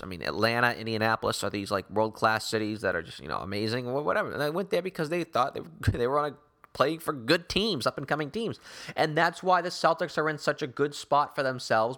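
A man's voice: medium pitch, fairly bright tone. A man hosting a sports talk show, delivering opinionated basketball commentary in an emphatic, rambling way with sarcastic asides.

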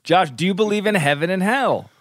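A man's voice: sits high, a little fast, fairly bright tone, no echo, clean studio sound. A man co-hosting a podcast in casual chat, teasing and playful, laughing often. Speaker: podcast co-host.

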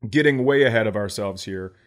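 A man's voice: lowish voice, brisk. A middle-aged man, a comedian co-hosting a podcast, chatting casually with emphatic, sweary phrasing. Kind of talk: casual conversational banter.

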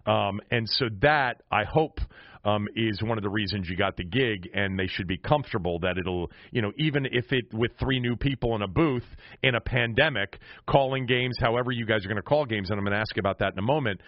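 A man's voice: lowish voice, a little fast, no room sound, dull audio. A man hosting a casual sports radio conversation, talking in a loose, conversational way and often repeating phrases as he goes. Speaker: sports talk host.

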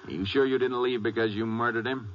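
An actor playing a businesslike, courteous Texas Ranger who investigates and narrates in a 1950s radio western. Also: male voice, mid-range voice, fairly even intonation, fast talker, slight background noise, close to an everyday voice. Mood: stern. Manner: clipped, courteous, authoritative. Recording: lo-fi 1950s radio recording with music.

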